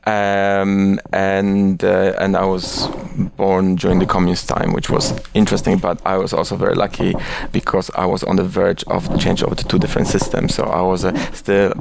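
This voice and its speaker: Polish guy, super low gravelly voice, flat tone, bit of hiss behind, talks with his hands. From Poland. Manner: passionate, gesticulating, many restarts. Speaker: guest. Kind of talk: conversational interview.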